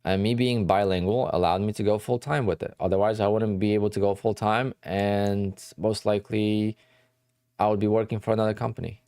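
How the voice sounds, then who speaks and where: A man from New Jersey talks through his livestream in a loose, rambling way. His voice sits very low with a dark, mellow tone, and the recording is close and dry with no room sound. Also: flat delivery, a little fast, smooth, dry recording. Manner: rambling, conversational, answers chat questions. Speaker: day trading livestream host. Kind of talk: casual livestream chat.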